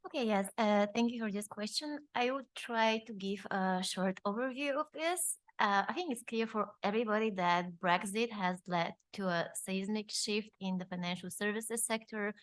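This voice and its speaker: Measured woman on a conference panel, dry sound. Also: mid-range voice, no echo. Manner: measured, formal, with frequent hesitations. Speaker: panelist, tax policy advisor.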